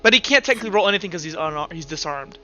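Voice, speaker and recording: A man's voice: sits high, lively intonation, rapid-fire, bright, forceful tone. A man casually game mastering an online tabletop roleplay session, informally talking through rulings aloud. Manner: informal, talks through rulings aloud, acts out sound effects. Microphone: online voice chat microphone. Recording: dull, lo-fi voice chat with music behind.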